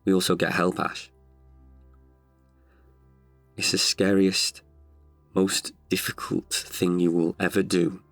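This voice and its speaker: A man's voice: deep voice, flat delivery, takes it slowly, gravelly, pauses often, dry recording, soft background music, exaggerated voice. A man in his thirties performing an intimate, chatty monologue as an older, wiser self tenderly addressing his past self. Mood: candid, reflective. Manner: chatty, wry, heartfelt. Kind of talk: intimate confessional audio monologue.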